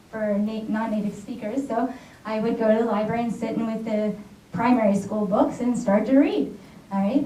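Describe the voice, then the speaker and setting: A woman's voice: a little high, warm tone, slight background noise. An American woman, a secondary school teacher, gives a chatty conference talk and keeps checking in with her listeners with "all right?" and "right?". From United States. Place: conference hall. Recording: echoey, dull audio captured from far off in a hall.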